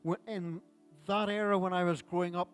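A man's voice: high voice, steady tone, normal speed, faint music behind. A man, preaching a sermon at a church service in a conversational way with self-deprecating asides. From Ireland. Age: 60s. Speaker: pastor.

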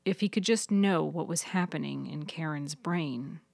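Low-voiced woman narrating fiction. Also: normal speed, vocal fry, darkish tone, dry recording, clean studio sound. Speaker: author and narrator of a fiction podcast. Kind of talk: scripted audiobook-style story narration.

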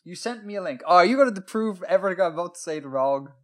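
A man's voice: sits high, lively intonation, fast talker, a bit bright. A man co-hosting a podcast in casual chat, talkative and self-deprecating, with plenty of ums. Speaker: podcast co-host.

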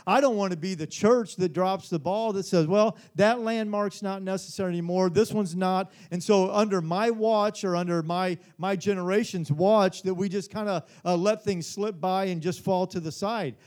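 Casting: forties male pastor, high-pitched voice, earnest midweek Bible study preaching, stumbles and repeats himself. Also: moderate pace, hardly any pauses, a little mellow. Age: 40s. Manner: earnest, repeats words, frequent "Amen?".

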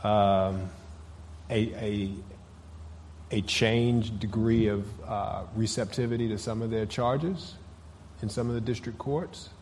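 A man answering council members at a public meeting, measured and deferential. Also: fairly low pitch, darkish tone, in a large hall, slight background noise. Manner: measured, deferential, says yes ma'am. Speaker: city official answering council. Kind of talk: formal testimony at a public meeting.

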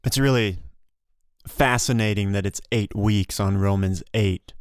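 Guy cohosting a podcast, clean smooth voice, mid pitch, chatting casually, dry close mic. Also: measured pace, no echo. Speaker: podcast co-host.

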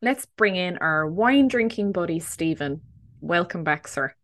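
A woman in her thirties hosts a wine podcast, chatty and bubbly, laughing easily between points. Her voice sits a little low for a woman. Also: lively intonation, measured pace, fairly bright tone. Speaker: podcast host. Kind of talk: casual wine podcast chat and scripted intro.

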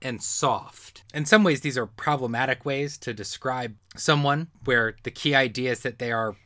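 A man's voice: mid-range voice, normal speed, pushed and bright, no room sound, clean studio sound. A man presenting a history talk in a semi-improvised storytelling style, explaining with emphatic phrasing.

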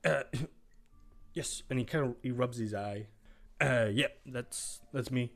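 A man's voice: mid-range voice, slow pace, creaky, fairly bright tone. A man voicing a tired, disheveled older guard captain who stammers and hesitates while staying politely suspicious. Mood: sleepy.

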